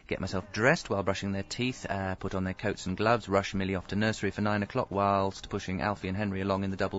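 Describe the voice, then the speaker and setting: A man's voice: low-pitched, muffled recording, close to an everyday voice. An actor playing a hapless, earnest young father and husband in a radio comedy, by turns sheepish and drily wry. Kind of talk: radio comedy acting with wry first-person narration.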